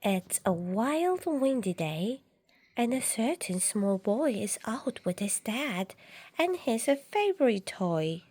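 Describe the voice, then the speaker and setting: A woman reading a picture book aloud to young children, dramatically, with drawn-out words and shifts between hushed and loud delivery. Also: fairly high pitch, very animated, breathy edge. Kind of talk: animated read-aloud storytelling for young children.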